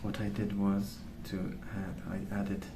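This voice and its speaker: A man rambling softly in an ASMR style, hushed, hesitant and unhurried. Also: lowish voice, completely flat delivery. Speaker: ASMR video host. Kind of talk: soft-spoken ASMR rambling. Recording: roomy, distant mic with clear noise.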